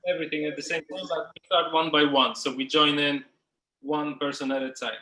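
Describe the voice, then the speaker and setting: A man's voice: on the high side, flat delivery, brisk, sharp tone, echoey room, poor audio. A man leading a group workshop, giving casual instructions in a loose, informal way, as if thinking aloud. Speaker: workshop facilitator. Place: indoors, on a group video call.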